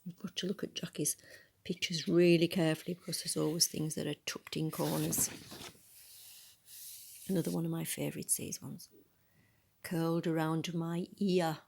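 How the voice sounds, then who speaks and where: A woman reading a picture book aloud in a soft, breathy voice, adding musing, conversational asides about the illustrations. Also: deep voice, little pitch movement, moderate pace, pauses often, slight background noise. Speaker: storybook reader.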